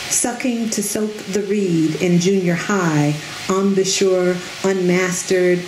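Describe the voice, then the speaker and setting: A middle-aged woman reads poetry aloud at a podium mic, unhurried and deliberate, her voice sitting a little low. The sound is roomy under an outdoor tent, with a steady hiss of background noise behind her. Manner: slow, measured, deliberate delivery. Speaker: poet reading her own work. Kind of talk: poetry reading. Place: outdoor tent stage at a reading. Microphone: podium microphone on a stand.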